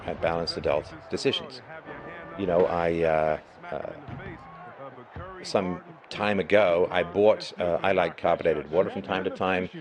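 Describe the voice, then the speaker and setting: Man, middle-aged, very low voice, hoarse, emphatic lecturing monologue, crowd noise behind. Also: fairly even intonation, unhurried. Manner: emphatic, lecturing, with rising bursts of stress. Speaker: live stream host. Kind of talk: monologue commentary.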